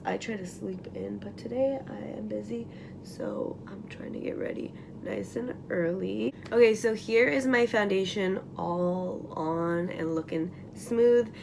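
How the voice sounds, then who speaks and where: Raspy young woman, rambling makeup chat. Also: mid-range voice, expressive, measured pace, noisy background. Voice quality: raspy morning voice. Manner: rambling, lots of likes and ums, trailing into whispers. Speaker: beauty vlogger. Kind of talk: casual makeup tutorial chat. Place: at home, getting ready.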